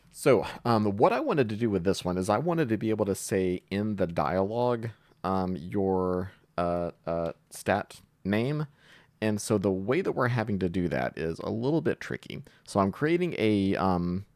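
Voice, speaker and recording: A man's voice: low voice, a little mellow, dry recording. A man giving an informal coding tutorial, explaining step by step and thinking aloud as he types. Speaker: programming tutorial host.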